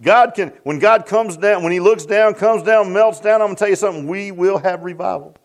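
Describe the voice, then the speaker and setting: A man preaching a sermon to his congregation in church, repeating himself and calling out to the crowd. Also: a little fast. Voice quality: deep voice. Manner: repeats and restarts, calls out to the congregation. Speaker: pastor. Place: church sanctuary.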